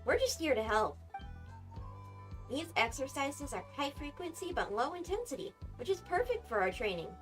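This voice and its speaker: A woman's voice: high-pitched, sing-song, moderate pace, fairly bright tone, soft background music. An actress playing an upbeat, confident female sports coach in an anime game, cheerful, breezy and encouraging. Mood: breezy, modest.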